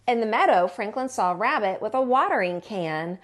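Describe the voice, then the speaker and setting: A woman, a teacher, reading a children's storybook aloud in an expressive way and acting out the dialogue. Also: medium pitch, moderate pace. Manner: animated, acts out the dialogue. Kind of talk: expressive children's picture-book read-aloud.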